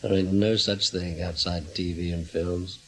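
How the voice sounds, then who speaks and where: An actor playing a guarded, steady British intelligence man in a radio drama, terse and understated with a slightly husky voice. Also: low-pitched, a little slow. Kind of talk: naturalistic radio drama acting.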